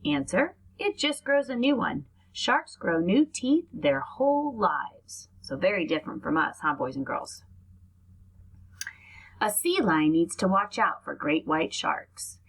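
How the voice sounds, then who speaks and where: A woman's voice: mid-range voice, lively intonation, unhurried, breathy edge. A woman reading aloud to young children like a teacher, explaining things and adding asides as she goes.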